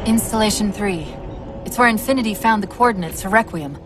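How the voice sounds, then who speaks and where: A woman playing a quick-witted, businesslike female artificial intelligence in a video game, brisk and sardonic. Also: mid-range voice, over music, lightly acted. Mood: brisk, impatient. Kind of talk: video game cutscene acting.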